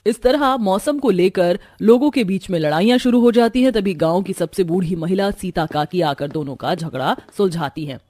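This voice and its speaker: A woman's voice: lowish voice, moderate pace, few pauses, warm tone, no echo, studio quality, soft background music. A woman reading scripted narration for a moral cartoon story as its storyteller.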